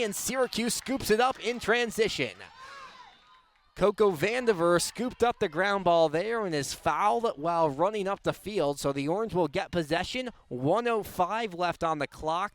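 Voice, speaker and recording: A young man doing live radio play-by-play sports commentary in a clipped, descriptive way. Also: sits high. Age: young adult. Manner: clipped, descriptive call of the action. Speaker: play-by-play announcer.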